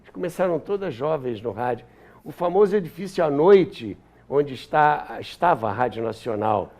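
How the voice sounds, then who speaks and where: Older Brazilian man, animated high-pitched voice. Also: moderate pace. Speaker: interview guest, historian and author. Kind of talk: interview, reminiscing.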